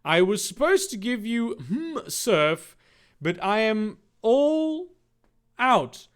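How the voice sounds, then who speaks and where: A man voicing a cheerful, generous fish-man in a high, drawn-out voice. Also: very animated, clear, resonant tone, exaggerated voice. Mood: eager.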